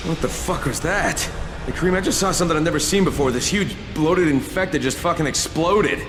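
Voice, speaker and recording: A man's voice: on the high side, a little fast, loud music behind the voice, close to an everyday voice. A man playing a blunt, exasperated undercover tough guy in a video game, casual and profane in manner. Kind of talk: video game cutscene acting.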